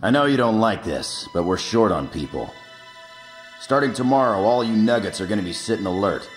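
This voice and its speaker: A man playing a blunt, good-natured old fighter squadron leader in a video game, talking gruffly. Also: fairly low pitch, lively intonation, brisk, faint music behind, natural voice. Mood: gruff, commanding. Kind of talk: video game drama acting.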